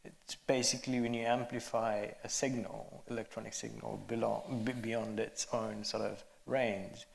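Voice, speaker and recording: A man's voice: fairly low pitch, flat delivery, normal speed, vocal fry, a little hiss or hum. A man, a cinematographer, informally explaining technical matters on a panel, recorded with roomy, echoing sound.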